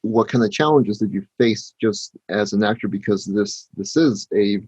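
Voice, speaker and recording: A man interviewing casually, feeling his way through questions in a halting way, on a lo-fi recording. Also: on the low side, darkish tone, husky, no echo. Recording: dull lo-fi sound.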